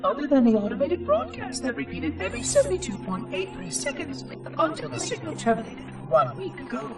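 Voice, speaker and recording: A man's voice: very high voice, wildly swooping pitch, brisk, heavily acted. A man voicing an ancient, fussy, curious robotic monitor in a video game, with precise, formal, theatrical delivery and a synthetic processed sound. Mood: solemn, regretful. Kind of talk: video game cutscene log narration. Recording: distant, echoing, music underneath. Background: music.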